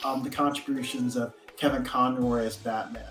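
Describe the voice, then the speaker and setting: A man's voice: medium pitch, fairly even intonation, normal speed, fairly bright tone. A man co-hosting a casual live stream, talking like an enthusiastic fan. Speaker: podcast host. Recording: roomy echo, faint music.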